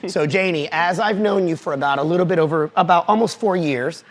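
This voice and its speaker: Higher-voiced man hosting a casual chat. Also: a little fast. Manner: enthusiastic, repeats himself while asking questions. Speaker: podcast host. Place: cigar club lounge.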